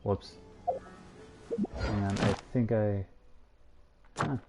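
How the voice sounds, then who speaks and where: A man's voice: on the low side, extremely slow, vocal fry, very noisy. A man streaming a video game, giving casual play-by-play commentary on what he does. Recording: game music behind the voice. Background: video game music.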